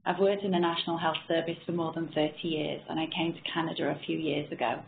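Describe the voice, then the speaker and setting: Quiet husky woman on a call. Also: low voice, little pitch movement, slight background noise. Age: middle-aged. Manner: measured, with frequent ums. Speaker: presenter, nurse researcher. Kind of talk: webinar presentation. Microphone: computer mic on a web call. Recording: echoey tinny online call audio.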